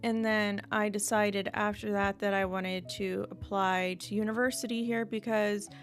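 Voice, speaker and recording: A woman's voice: mid-range voice, level tone, moderate pace, faint music behind. A young woman from the United States, talking candidly and reflectively to her viewers in an unedited vlog, with light laughs now and then. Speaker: vlogger. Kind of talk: candid unedited monologue to camera.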